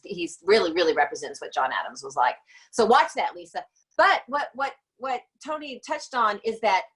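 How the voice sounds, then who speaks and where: A woman's voice: mid-range voice, fairly bright tone, rough recording. A woman hosting a casual panel discussion, talking emphatically and repeating words for stress. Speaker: podcast host.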